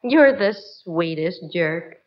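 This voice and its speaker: An actress playing a patient, wry sitcom wife in 1940s radio comedy style. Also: female voice, lowish voice, expressive, slow, highs cut off. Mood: wry, fond. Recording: noisy old radio recording, loud studio audience.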